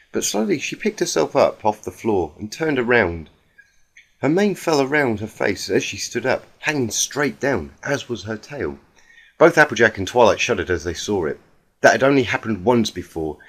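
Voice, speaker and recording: A man reading a fan fiction story aloud in an earnest, expressive, dramatic amateur narration. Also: fairly low pitch.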